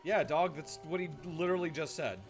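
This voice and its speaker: A man's voice: a little high, fairly quick, over music. A man giving casual, sardonic and joking commentary while streaming a game.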